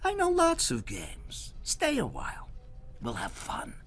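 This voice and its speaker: Sly storybook hero voiced by a man: animated, swooping pitch, breezy, mock-sympathetic, coaxing. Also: a little slow, full character voice. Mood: gleeful coaxing. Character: clever trickster man, breezy and slyly teasing.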